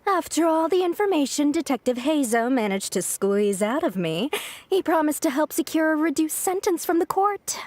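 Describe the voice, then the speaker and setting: High-pitched, coy female swindler. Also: very animated, normal speed, a little mellow, dry recording. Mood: plaintive, self-pitying. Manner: coy, dramatically self-pitying. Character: sly, flirty convicted swindler woman, wheedling and theatrical. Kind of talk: video game voice acting.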